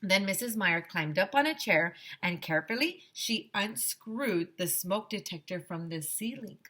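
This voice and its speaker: A woman teacher reading a children's chapter book aloud in an expressive, animated storytelling style. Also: mid-range voice, unhurried. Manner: expressive, dramatizes the dialogue.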